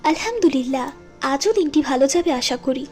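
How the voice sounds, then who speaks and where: A humble, devout girl of a moral cartoon , voiced by a woman storyteller. Her voice is very high and earnest, full of innocent wonder. Soft music sits underneath. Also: lively intonation, normal speed. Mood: hopeful, devout. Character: pious, honest, humble girl Ayesha in wonder.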